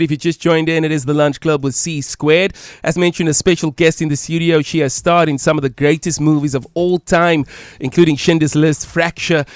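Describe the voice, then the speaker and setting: A man's voice: a little high, smooth, no echo. A South African man co-hosting a radio interview in a studio, upbeat and peppered with fillers. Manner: upbeat, filler-heavy introductions. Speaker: radio co-host.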